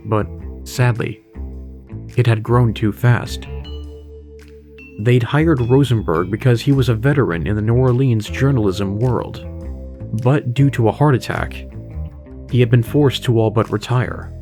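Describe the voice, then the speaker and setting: A man's voice: mid-range voice, a little slow, long pauses, mellow. A man reading scripted story narration as the narrator of an audio horror story. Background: music bed with guitar.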